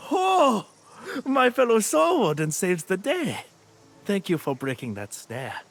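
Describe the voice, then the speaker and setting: An actor playing a proud, energetic desert warden in a game, exclaiming as he speaks. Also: high-pitched, slow pace, gravelly, a little hiss or hum, exaggerated voice. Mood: urgent. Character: brave male desert warden, eager and proud. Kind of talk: video game cutscene acting.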